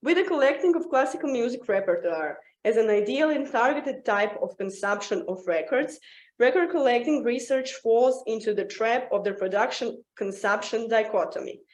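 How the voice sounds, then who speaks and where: Woman, somewhat high voice, roomy echo, scripted academic presentation, halting read-aloud delivery, frequent stumbles and restarts. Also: lively intonation, a little mellow.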